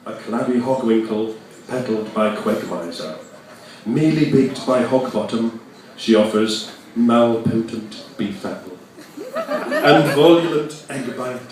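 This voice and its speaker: A man declaims nonsense poetry in a very echoey space, heard from a distance. His delivery is theatrical and mock-grand, slow and carefully enunciated, with big swoops in pitch. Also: lively intonation, a little mellow, slight background noise. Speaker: poet performing live. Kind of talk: theatrical nonsense-verse recital. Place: reverberant venue at an arts festival. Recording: echoey hall, distant microphone.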